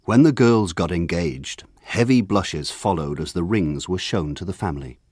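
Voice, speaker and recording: An actor narrating a radio drama as a grown man looking back on his village boyhood in a measured, lyrical way. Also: low-pitched, expressive, a little mellow, slightly rough, dry recording, clean studio sound. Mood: reflective.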